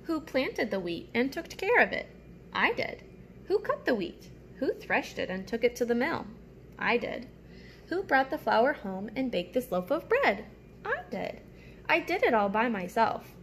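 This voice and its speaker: A woman as a high-voiced, hardworking little red hen. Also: very animated, measured pace, a bit bright, slight background noise, heavily acted. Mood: proud, firm.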